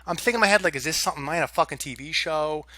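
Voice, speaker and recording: A young man vents to the camera about something that went wrong, sounding exasperated and swearing freely. His voice is bright and pushes forward. The sound is dry, with no room echo. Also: fairly high pitch, rapid-fire. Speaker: vlogger. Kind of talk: casual storytelling rant to camera.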